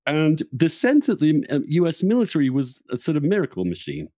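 A man hosting a reflective intellectual conversation, halting and searching for words, often repeating himself mid-phrase. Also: a little high, huge pitch swings, warm tone, no room sound, studio quality. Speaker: podcast host.

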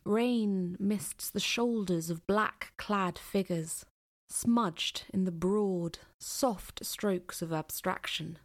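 A woman narrating an audio play in a deliberate, poetic storytelling manner. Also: medium pitch.

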